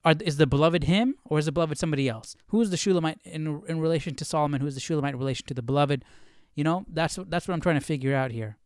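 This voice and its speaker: A man's voice: a little high, very fast, hardly any pauses, no echo. Questioning man with a dry, close sound. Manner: questioning, puzzling things through aloud. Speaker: host of a live Bible study stream. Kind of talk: casual study commentary, thinking aloud.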